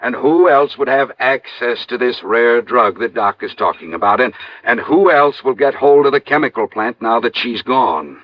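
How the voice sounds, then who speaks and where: A man's voice: medium pitch, animated, normal speed, few pauses, no echo, close to an everyday voice. A man playing an insistent local investigator with an emphatic, accusing manner in a 1950s radio drama. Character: insistent, indignant male local investigator, sure of his suspect. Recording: dry, dull old radio recording.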